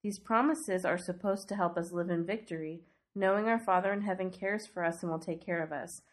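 A woman's voice: lowish voice, fairly quick. A young adult woman with a cold and a stuffy, snuffly voice, hosting a devotional podcast in an earnest, conversational teaching manner with rhetorical questions. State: congested from a cold. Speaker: podcast host.

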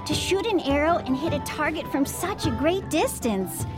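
A woman's voice: sits high, expressive, normal speed. A woman voicing a thoughtful, bookish middle-school girl, earnest and precise. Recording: loud music behind.

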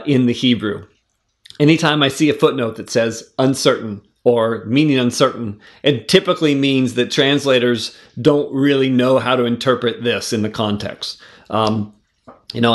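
A man giving a devotional Bible teaching, earnest and conversational, with fillers and restarts, slowing down when he reads verses aloud. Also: medium pitch. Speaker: preacher teaching scripture.

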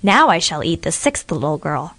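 A woman doing a slow, gloating storybook crocodile. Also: low voice, sing-song, no room sound, exaggerated voice. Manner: slow gloating drawl, loud. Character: hungry male crocodile, greedy and gloating.

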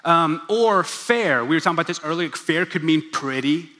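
A young man preaches a casual sermon, full of jokes and asides to the crowd. His voice sits fairly high and sounds bright and forward. A long hall reverb trails after every line. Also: lively intonation, moderate pace. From Oklahoma, USA. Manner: chatty, joking, asides to the crowd. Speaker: pastor. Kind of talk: casual sermon in a church gathering. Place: large church hall.

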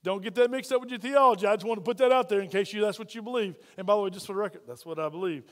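A man preaching a sermon as pastor, loud and folksy, full of playful asides to the congregation. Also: high-pitched, rapid-fire, long reverb tail.

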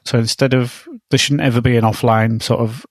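A man's voice: mid-range voice, fairly even intonation, a little fast, no room sound. Man with a cold, dry close sound. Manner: tentative, trails off with tag questions. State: has a cold, losing his voice. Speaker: podcast co-host. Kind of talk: casual tech chat.

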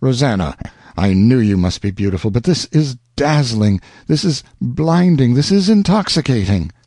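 A man's voice: medium pitch, big pitch swings, moderate pace, mellow, no echo, studio quality. A man reading the lines of an earnest young lover, flowery and fervent. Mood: ardent. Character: ardent young male lover.

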